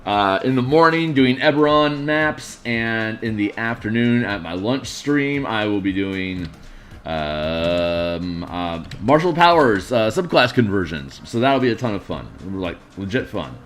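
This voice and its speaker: Tired, gruff-voiced man streaming. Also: fairly low pitch, animated, unhurried, a bit bright. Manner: rambling, many fillers and restarts. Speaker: streamer and map artist. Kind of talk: casual live-stream talk about tabletop game design.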